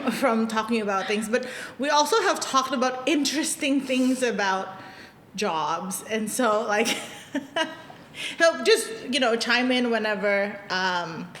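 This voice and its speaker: A woman pastor hosting a relaxed podcast conversation, her slightly high voice carrying some room echo. She talks loosely with frequent laughs, over a faint low rumble. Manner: laughs often, loose and chatty. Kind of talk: casual church podcast chat.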